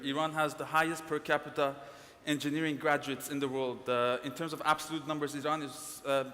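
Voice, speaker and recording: A man's voice: mid-range voice, fairly bright tone. Hesitant man in a reverberant hall. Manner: hesitant, many fillers. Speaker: panelist. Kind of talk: conference panel presentation. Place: conference hall. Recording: long reverb in a conference hall.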